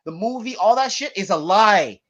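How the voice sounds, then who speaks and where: High-pitched man arguing emphatically. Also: animated, normal speed, highs cut off, over a noisy crowd. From Canada. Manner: emphatic, repetitive, combative, profane. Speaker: panelist on an online debate show. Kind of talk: heated informal argument.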